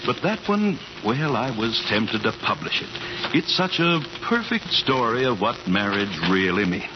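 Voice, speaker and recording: A man's voice: medium pitch, big pitch swings, normal speed, natural voice. An actor playing a hearty, sentimental, fatherly older newspaper boss in a 1940s radio comedy. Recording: muffled, noisy old radio broadcast.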